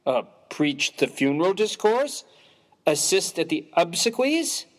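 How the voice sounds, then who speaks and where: A man's voice: high voice, sing-song, measured pace. A man playing a prim, bookish, easily bewildered clergyman in a dramatized comic story, speaking formally and with pompous precision. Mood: puzzled. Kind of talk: dramatized reading of a humorous story.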